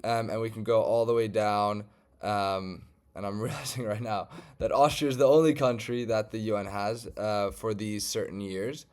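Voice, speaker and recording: A man from Germany talks through a data query as he clicks along his screen. His voice sits fairly low and sounds smooth and clear. He keeps the tone loose and chatty, with many small "uh"s along the way. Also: normal speed. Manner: relaxed, conversational, frequent "um" and "uh" fillers. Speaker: data scientist. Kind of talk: screen-share tutorial walkthrough.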